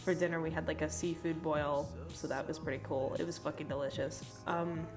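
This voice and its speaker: A young woman with a low voice that sounds stuffed up and nasal, as if she has a cold. She rambles through a casual voice-over, full of fillers and cursing. Faint music plays under her phone-recorded voice. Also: steady tone, fairly quick. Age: young adult. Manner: rambling, chatty, lots of fillers and swearing. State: congested, nasal. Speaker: art vlogger.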